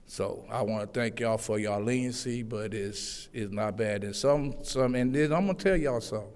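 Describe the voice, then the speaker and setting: A man giving public comment at a city council meeting, speaking loudly and earnestly, pleading with the council. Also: mid-range voice, moderate pace, clean tone. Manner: loud, earnest, pleading delivery. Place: echoey city council chambers. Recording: long hall reverb.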